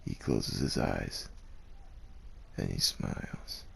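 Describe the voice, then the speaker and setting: A man's voice: a bass voice, slow pace, vocal fry, a bit bright, husky. A man narrating a scripted ASMR story as a voice actor, addressing the listener directly.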